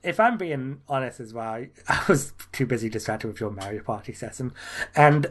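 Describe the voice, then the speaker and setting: A man's voice: mid-range voice, expressive, moderate pace. A man co-hosting a gaming podcast, talking casually, laughing often and trailing off mid-sentence. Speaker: podcast co-host.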